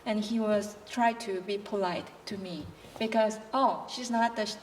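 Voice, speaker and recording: A woman who is not a native English speaker, answering on a panel in careful, halting English with many restarts. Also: medium pitch, steady tone, a little mellow, far from the mic, a little hiss or hum, low-quality recording.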